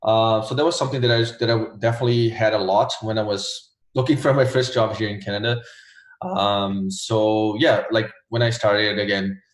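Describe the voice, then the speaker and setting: Low-voiced young Brazilian man, echoey room. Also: moderate pace. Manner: relaxed, hesitant, many fillers. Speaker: guest, former test taker. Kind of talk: casual interview answers.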